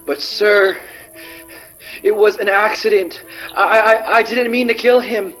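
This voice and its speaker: An actor playing an anxious, decent Spanish gentleman in a radio drama, pleading and stammering. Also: high-pitched, fairly even intonation, unhurried, faint music behind, low-quality recording. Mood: panicked pleading.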